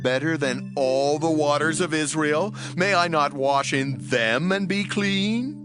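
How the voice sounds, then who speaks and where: An actor playing a proud Syrian army captain in a dramatized scripture reading, speaking in formal, declamatory phrases. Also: male voice, a little high, measured pace, close to an everyday voice. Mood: indignant. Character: proud Syrian army captain, indignant then humbled and earnest. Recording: music behind the voice.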